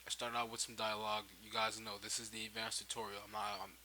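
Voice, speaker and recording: Low, sharp-voiced man over hiss. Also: little pitch movement, fast talker. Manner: informal, thinking aloud. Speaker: game-making tutorial host. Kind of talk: casual screen-recorded tutorial narration. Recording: hissy home microphone recording.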